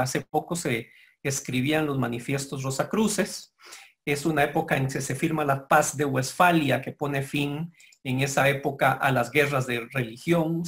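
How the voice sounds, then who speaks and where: A man delivers a formal lecture in a mid-range voice. He speaks in a measured, explanatory way, pausing now and then and correcting himself as he goes. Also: medium pitch, fairly bright tone. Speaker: guest lecturer.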